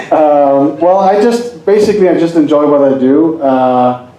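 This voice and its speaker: A man's voice: on the high side, dark tone. Danish-accented man presenting in an echoey hall. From Denmark. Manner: jokey, asks the audience questions. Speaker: hypnosis presenter. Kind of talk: live seminar talk.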